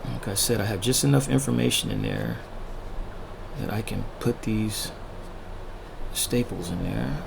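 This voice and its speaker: A man casually narrating a drawing tutorial as he sketches, thinking aloud in short unhurried fragments, his voice a little worn from talking. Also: on the low side, gravelly, noisy background. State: losing his voice, throat-clearing. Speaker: art tutorial host, illustrator.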